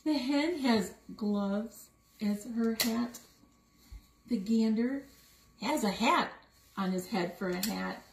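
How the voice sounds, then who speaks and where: A woman reads a picture book to young children in a high, very lively voice. She takes her time, putting questions to listeners. The room is echoey with some noise behind her. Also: takes it slowly, creaky, pauses often. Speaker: story time reader. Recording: roomy echo with noticeable background noise.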